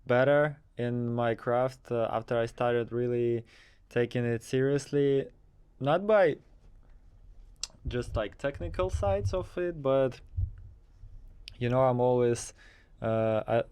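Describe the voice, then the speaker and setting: Man, very crisp resonant voice, halting phrasing, casual interview answers. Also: medium pitch, fairly even intonation, a little mellow. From Kazakhstan. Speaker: interviewed DJ and techno producer.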